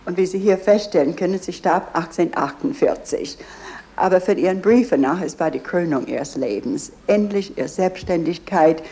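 Low, dark-voiced woman tour guide. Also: normal speed.

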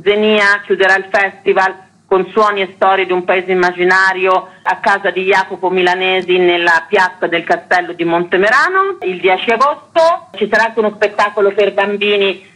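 A woman's voice: medium pitch, moderate pace, a bit bright, poor audio. A woman festival director giving interview answers and running through a programme. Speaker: festival artistic director, guest.

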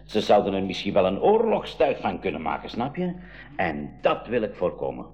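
A man's voice: sits low, wildly swooping pitch, moderate pace, darkish tone. A man playing an eccentric, kindly inventor uncle in an educational radio drama, with a Flemish accent and a theatrical, teasing way of explaining. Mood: cautious. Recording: dull 1980s radio drama recording.

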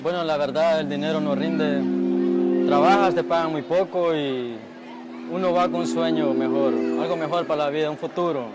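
A man's voice: on the high side, moderate pace, a loud crowd, rough recording. A man from Central America speaking hopefully and reassuringly in a street interview. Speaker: migrant interviewee. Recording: outdoor recording with loud music.

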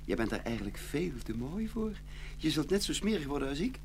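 A brave young chimney-sweep boy played by a woman in a storybook radio play. He speaks tenderly and reassuringly with a very animated melody, on a faintly noisy, humming recording. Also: sits low, fairly quick. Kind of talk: audio fairy-tale drama acting.

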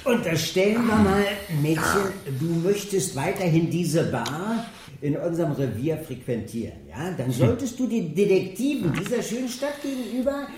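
A woman's voice: fairly low pitch, lively intonation, moderate pace, darkish tone, close to an everyday voice. A woman acting a brash, vulgar police detective in a radio drama, sardonic and intimidating, with crude slangy talk. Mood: menacingly sweet. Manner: crude, slangy, mockingly sweet menace. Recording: echoey room, clear background noise.

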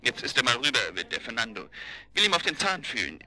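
A man's voice: mid-range voice, wildly swooping pitch, quick pace, pushed and bright, full character voice, low-quality recording. An actor in a German radio drama playing an eager, insistent man passing on information in an urgent, colloquial way.

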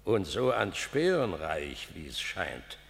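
An actor playing a bitter chorus elder with dry, sardonic remarks in verse. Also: male voice, sits low, very animated, slow pace, gravelly, heavily acted. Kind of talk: classical verse radio drama. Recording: long hall reverb.

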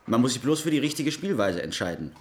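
A man's voice: mid-range voice, very animated, quick pace, natural voice. Medium-voiced man acting a self-assured, plain-speaking stage actor in a radio play.

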